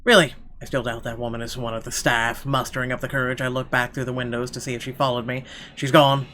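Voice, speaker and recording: A man's voice: medium pitch, level tone, fast talker, bright, forceful tone, a little hiss or hum. A man reading first-person narration from a visual novel aloud in a dramatic storytelling style.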